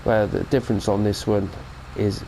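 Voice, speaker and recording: A man's voice: on the low side, level tone, moderate pace, vocal fry, mellow, a little hiss or hum, poor audio. A British man with a cold, giving a chatty, rambling mod review of a farming game and correcting himself as he goes. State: has a cold. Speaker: gaming channel host. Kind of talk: casual mod review commentary.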